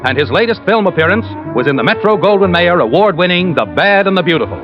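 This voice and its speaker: High-pitched American vintage radio announcer. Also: male voice, lively intonation, fast. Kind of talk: 1950s scripted radio announcing and advertising. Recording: muffled old radio recording. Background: prominent music.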